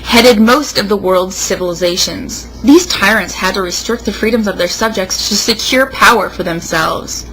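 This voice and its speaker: Young woman, American accent, bright sharp voice, sarcastic emphatic reading, casual banter, faint noise. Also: medium pitch, moderate pace, few pauses, rough recording. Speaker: co-host. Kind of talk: mock-dramatic reading aloud with casual banter.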